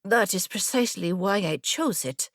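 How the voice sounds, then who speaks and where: A woman's voice: medium pitch, expressive, moderate pace, dry recording, clean studio sound. A woman voicing a proud, impatient young noblewoman warrior with a brusque, challenging manner in a fantasy audiobook. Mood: defiant, impatient. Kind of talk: audiobook fantasy character dialogue.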